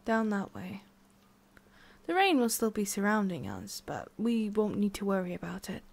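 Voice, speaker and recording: Haughty forest witch, played by a woman, ASMR roleplay. Soft-spoken, halting, condescending, with whispery breaths and a hidden tender streak. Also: medium pitch, big pitch swings, vocal fry. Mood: condescending, prying. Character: haughty, prickly tsundere forest witch, secretly soft-hearted.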